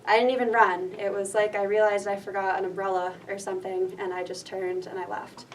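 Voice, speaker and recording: A woman's voice: medium pitch, little pitch movement, fairly quick. Young woman from rhode island telling a story on stage, earnest, sounds a bit far off, light hiss. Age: young adult. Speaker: student storyteller. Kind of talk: personal story told on stage. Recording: distant mic with faint noise.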